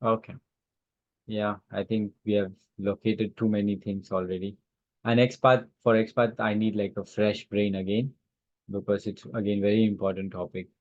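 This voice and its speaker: A man teaching a live online software lesson informally, haltingly thinking aloud as he demonstrates. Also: fairly low pitch, level tone. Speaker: instructor. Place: online class call with screen sharing. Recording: roomy, dull lo-fi online-call audio.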